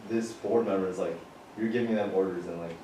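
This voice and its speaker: A man's voice: low-pitched, steady tone, fairly quick, warm tone, slight background noise. A man in the audience asking a question in a casual way, full of "like" fillers. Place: classroom. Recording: far from the mic in an echoey classroom.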